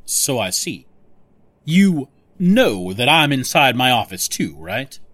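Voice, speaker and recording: A suspicious male official in an audio drama. His mid-pitched voice moves animatedly up and down. He is sardonic and pointed, as if always interrogating. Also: very animated, slow pace, fairly bright tone, exaggerated voice. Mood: exasperated.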